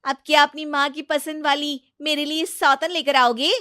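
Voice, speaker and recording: A woman's voice: sits high, flat delivery, normal speed, a bit bright, no echo, studio quality, voice pushed up. A woman voicing an arrogant, whiny young daughter-in-law in a family story, complaining with dramatic, sarcastic flair. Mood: suspicious.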